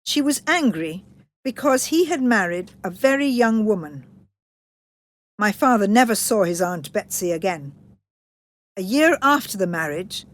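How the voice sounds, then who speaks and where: A woman narrating a children's story in a scripted read-aloud style. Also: mid-range voice.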